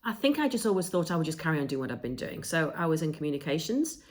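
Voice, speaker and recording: English woman in her fifties, co-hosting a podcast, low-pitched, easygoing storyteller. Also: big pitch swings, quick pace. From England. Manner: chatty storytelling with frequent ums. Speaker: podcast co-host. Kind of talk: casual conversational podcast.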